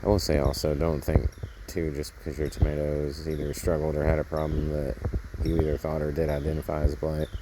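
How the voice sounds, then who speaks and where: Very deep-voiced man, casual outdoor vlog. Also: little pitch movement, brisk, few pauses, background noise. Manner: rambling, thinking aloud while working. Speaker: gardening vlogger. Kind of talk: casual hands-on gardening commentary. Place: outdoors in a home garden.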